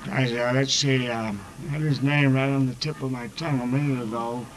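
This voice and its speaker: An actor playing a grubby, boozy, belligerent rooming-house manager in a slangy, blustering 1940s radio style. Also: male voice, medium pitch, steady tone, fairly quick, close to an everyday voice. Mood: muddled, appeased. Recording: noisy 1940s radio recording.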